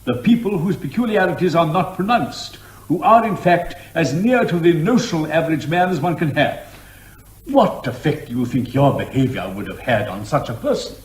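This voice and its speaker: A man's voice: fairly high pitch, very animated, moderate pace. A man playing a testy, authoritative judge in a courtroom comedy drama, speaking formally and cutting in to steer the court. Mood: patiently firm. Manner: formal, magisterial, interrupting. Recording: echoey room with faint noise.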